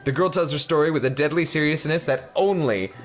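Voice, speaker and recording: A man's voice: a little high, a little fast, soft background music. A man hosting a let's play, reading game text aloud in a jokey, mock-dramatic narration.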